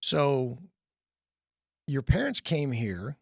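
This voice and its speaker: A man's voice: medium pitch, sing-song, extremely slow, creaky, dry recording, clean studio sound. A man hosting a podcast, reading a scripted intro and then asking questions in a loose, rambling way. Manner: rambling, many restarts and fillers when asking questions. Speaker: podcast host. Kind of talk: scripted intro narration and casual interview.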